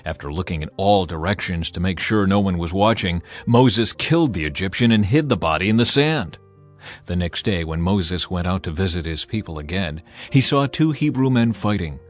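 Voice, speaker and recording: Expressive low-voiced man narrating scripture over music. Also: moderate pace. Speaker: Bible narrator. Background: background music.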